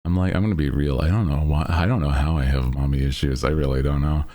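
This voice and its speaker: A man's voice: rumbling low, steady tone, fast, warm tone. A man hosting a livestream, chatting casually with viewers in a rambling, jokey, self-deprecating way. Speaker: VTuber livestream host. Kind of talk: casual chat with stream viewers.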